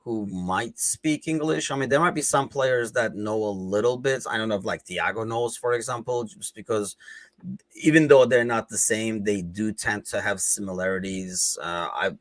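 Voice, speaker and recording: A man with a Turkish accent talks through football news in a loose, rambling way, leaning on lots of "you know" and "like" fillers. His voice sits a little low, and the recording is dry and close with no room sound. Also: normal speed, dry recording. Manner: rambling, conversational, many fillers. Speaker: podcast host. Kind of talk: casual football chat.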